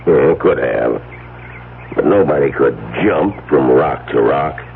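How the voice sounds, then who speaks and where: Deep, gravelly country cop. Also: male voice, animated, slow, full character voice. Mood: dry, reasoning. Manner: rustic, drawling, dry. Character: folksy, skeptical, unhurried male country cop. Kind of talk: vintage-style radio drama acting. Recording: muffled old radio recording with hiss.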